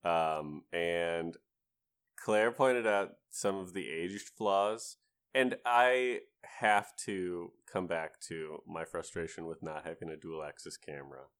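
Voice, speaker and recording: A man on a podcast panel, chatting casually about video games with halting delivery and wry jokes. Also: low voice, a bit bright, smooth. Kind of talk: casual video game discussion.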